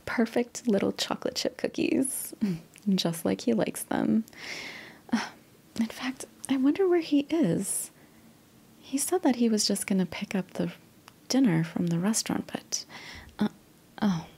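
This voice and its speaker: A woman playing a doting middle-aged mother in an intimate ASMR roleplay, speaking in a breathy, often near-whispered voice with an affectionate, hesitant manner. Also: fairly high pitch, animated, heavily acted. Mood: musing, fond. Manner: unhurried, affectionate, hesitant, with soft sighs and chuckles. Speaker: audio roleplay performer.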